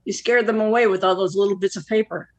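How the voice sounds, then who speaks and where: A woman's voice: medium pitch, fairly even intonation, quick pace, lightly acted, rough recording. A woman acting a blunt, lonely forty-nine-year-old who probes with wry questions in a naturalistic play. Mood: wry, nosy. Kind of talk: naturalistic one-act play dialogue.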